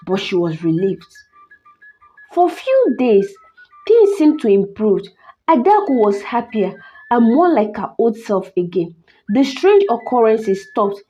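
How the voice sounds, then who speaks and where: A Nigerian woman narrating a scripted folktale, in a dramatic, deliberate way with drawn-out phrases. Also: on the high side, dark tone, lo-fi sound. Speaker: storyteller, channel host.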